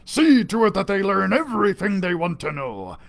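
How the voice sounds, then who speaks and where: A man voicing a gruff, kindly pirate captain who says "arr" and "ye", in a storybook read-aloud. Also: high voice, sing-song, moderate pace, full character voice. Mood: hearty.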